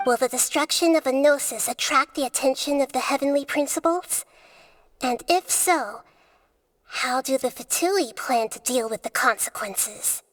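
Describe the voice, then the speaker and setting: A woman's voice: high-pitched, lightly acted. An actress voicing a small, wise young goddess in a video game, earnest, composed and formally articulate as she challenges her listener. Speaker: ruler deity negotiating with an enemy. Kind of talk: dramatic video game voice acting. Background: background game music.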